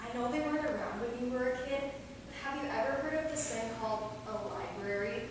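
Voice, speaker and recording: A woman's voice: a little high, quick pace, natural voice. A woman playing a flippant, sarcastic young vampire who teases in slang during a staged comedy reading. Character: cheeky, flippant young female vampire from Wisconsin. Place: theater, recorded from a distance. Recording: very echoey hall, far mic, clear noise.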